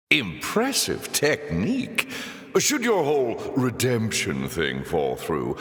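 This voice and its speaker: An actor playing a suave, sardonic demon lord in a video game, theatrical and sly, with mock courtesy. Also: male voice, sits low, a bit bright, heavily acted. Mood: sly, tempting. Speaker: arena master taunting the player. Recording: echoey hall reverb.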